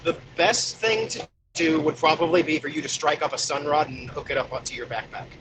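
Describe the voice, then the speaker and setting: A man's voice: a little high, fairly quick, background noise. A man playing a tabletop game, chatting casually as he explains tactics and advises the others. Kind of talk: casual game strategy chat.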